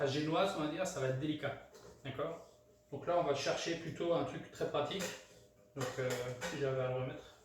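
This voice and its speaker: A man from France hosts a casual cooking tutorial, hesitant and full of fillers and restarts. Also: a little high. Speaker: home cook, channel host. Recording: very echoey room, mic far off, clear background noise.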